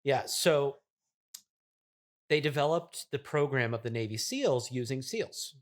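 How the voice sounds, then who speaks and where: Man playing a self-important, halting startup founder. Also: medium pitch, close to an everyday voice. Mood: nostalgic, earnest. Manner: halting, earnest, pompous explaining. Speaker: podcast guest playing a character. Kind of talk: improvised deadpan mock interview.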